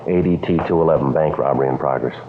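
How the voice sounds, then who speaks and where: An American man playing a stoic, businesslike police detective sergeant in a 1950s radio crime drama, speaking in clipped, terse, deadpan phrases. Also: rumbling low, fast talker, heavy background noise. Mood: matter-of-fact. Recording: muffled old radio broadcast with music.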